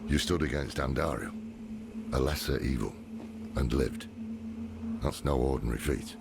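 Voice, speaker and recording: An actor playing a world-weary veteran scholar-warrior in a dark fantasy game, measured and wry, with a gravelly voice. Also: male voice, noisy background. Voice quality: deep, gravelly. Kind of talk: dramatic video game dialogue and narration. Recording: dramatic game music underneath.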